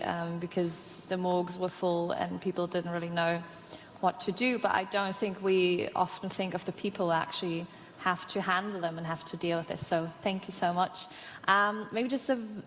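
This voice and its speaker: A woman's voice: lowish voice, slight background noise. A woman moderating a conference panel, thanking speakers and introducing the next one politely. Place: large conference hall. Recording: reverberant hall with faint ambience.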